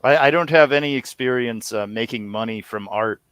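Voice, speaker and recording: A man's voice: medium pitch, fairly quick, fairly bright tone, clear, resonant tone, no echo. A man in his thirties talking casually as an interview guest in a rambling, wry, self-deprecating way. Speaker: guest, audio series co-creator and musician.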